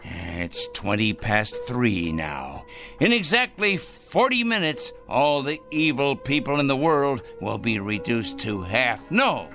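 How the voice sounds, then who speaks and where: An actor playing a fanatical, self-righteous crank in a radio drama, fussy and excitable, repeating himself emphatically. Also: male voice, medium pitch, slow pace, soft background music, heavily acted. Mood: gloating, fervent. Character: fanatical, self-righteous male crank obsessed with punishing evil.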